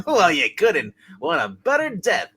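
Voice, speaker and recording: A man's voice: high-pitched, wildly swooping pitch, slow, pushed and bright, slightly rough, full character voice. A man voicing a goofy, girl-crazy young man, stammering and wisecracking in a comedic play reading.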